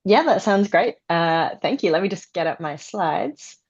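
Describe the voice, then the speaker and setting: Young Australian woman, low-pitched, friendly presenter; dull video call sound. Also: expressive, measured pace, darkish tone, breathy edge. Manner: friendly, upbeat, frequent ums. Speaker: PhD candidate presenting research. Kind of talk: webinar presentation.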